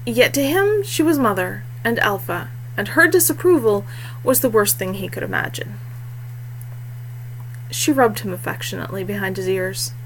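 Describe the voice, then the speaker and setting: A woman in her thirties reads her fiction aloud in an expressive storytelling style. Her voice sits at a medium pitch. It is a homemade recording in a small room, with faint noise underneath. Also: normal speed. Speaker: author reading own fiction. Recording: noisy home webcam recording with hum.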